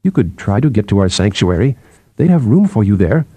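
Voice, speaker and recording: Man as a probing, mellow psychologist. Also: on the low side, sing-song, brisk, husky, dry recording, muffled recording, voice pushed down. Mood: measured, prodding. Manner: questioning, prodding. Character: probing, level-headed male psychologist, brisk and practical.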